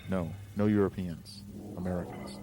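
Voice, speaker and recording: A grave gang boss in his seventies, voiced by a male story reader, speaks formally in a very deep voice. The storybook reading has clear noise behind it. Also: steady tone, normal speed, warm tone, natural voice. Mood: grave, warning.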